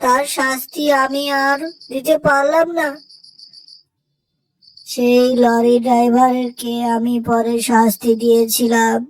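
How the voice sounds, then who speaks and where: A woman's voice: sits high, darkish tone, poor audio. A woman voicing the menacing, accusing ghost of a road accident victim in a horror cartoon.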